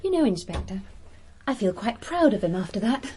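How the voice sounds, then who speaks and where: A woman's voice: medium pitch, sing-song, moderate pace, noisy background, lightly acted. An actress playing an earnest, loyal young girlfriend in a radio drama, speaking emphatically and pleadingly. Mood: proud.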